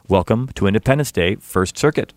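A man hosts a music interview show, moving from a measured, announcer-style script into easy chat with his guests. His voice sits a little low, and the studio sound is close and dry. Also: expressive, a little mellow, no echo. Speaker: radio show host. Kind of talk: scripted intro then casual interview.

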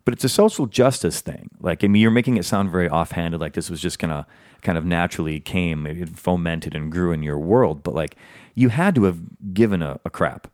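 Very deep-voiced male podcast host. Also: brisk, dry recording, studio quality. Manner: rambling, animated questions with many "like"s. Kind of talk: casual interview chat.

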